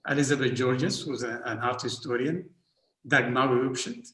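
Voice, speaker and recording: A man from Northern Sudan, an art historian speaking on an academic panel, halting often with repeated fillers and restarts. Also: mid-range voice, fairly bright tone, slight background noise. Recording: roomy echo.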